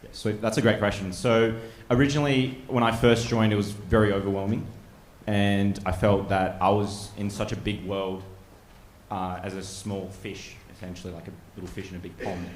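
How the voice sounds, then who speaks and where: A man's voice: fairly low pitch, moderate pace. A young Australian man, a trainee officer, answering casually and reassuringly. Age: young adult. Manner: casual, reassuring, relaxed fillers. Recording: echoey hall.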